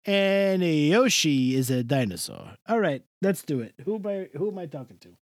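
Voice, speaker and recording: A gushing middle-aged Italian matron, put on by a podcast co-host, mangling her English in a thick mock Italian accent. Her pitch swoops up and down as she piles on exclamations. The sound is dry and close. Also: big pitch swings, normal speed, dry recording. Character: effusive middle-aged Italian woman, Mrs. DeRossi. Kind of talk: comic character bit in casual chat.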